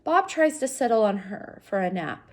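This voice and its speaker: Female teacher type for a children's read-aloud. Slightly raspy voice, very varied intonation, talks straight to the kids. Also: medium pitch, sing-song, normal speed. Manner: addresses young listeners directly. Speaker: teacher reading aloud to students.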